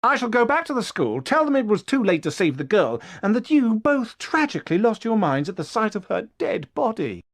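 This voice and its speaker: A flamboyant, vain wizard professor. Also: male voice, sits high, very animated, fairly quick, hardly any pauses, heavily acted. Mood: smugly threatening. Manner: flamboyant, pompous, theatrical. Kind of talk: video game voice acting.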